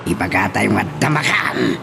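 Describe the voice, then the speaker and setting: A man's voice: fairly low pitch, animated, normal speed, creaky, background noise. A man playing a deferential underling advising his lady boss in an Ilocano drama. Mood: deferential, scheming. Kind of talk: Ilocano radio-style drama acting.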